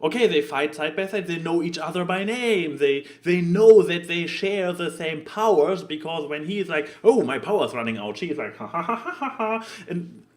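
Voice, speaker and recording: A man chatting casually as a podcast co-host, giving an exasperated, animated review and acting out quotes as he goes. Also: on the high side, moderate pace, few pauses.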